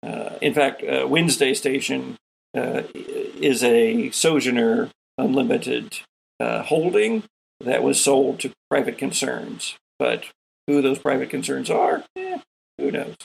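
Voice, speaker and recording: A man running a tabletop sci-fi game, narrating scenes in an improvised, deliberate and descriptive way as game master. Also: medium pitch, very animated, gravelly, husky, rough recording.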